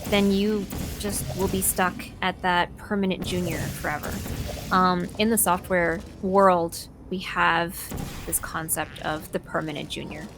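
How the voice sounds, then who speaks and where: A woman who is a voice actor, answering interview questions casually and thoughtfully, with hesitant pauses. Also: on the low side, steady tone, music in the background. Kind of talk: casual, relaxed conversation.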